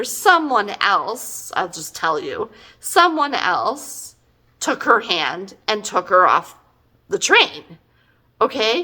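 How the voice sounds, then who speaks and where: A woman's voice: medium pitch, pushed and bright. A middle-aged woman giving a casual, chatty book review in an exasperated, emphatic, conversational way.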